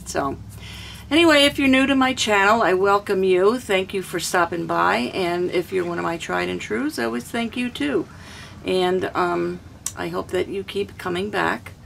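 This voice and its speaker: Older woman, hoarse, gravelly voice, chatty home cooking vlog, small camper, light background noise. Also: medium pitch, normal speed, a bit bright. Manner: chatty, homey, rambling. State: hoarse, throat trouble. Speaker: cooking vlogger. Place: small camper kitchen and lounge.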